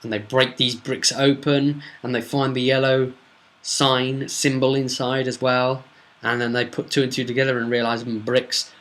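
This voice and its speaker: A man's voice: mid-range voice, fairly bright tone. A man casually recapping a tabletop role-playing campaign as game master, chatty, with emphatic asides. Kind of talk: casual solo recap narration.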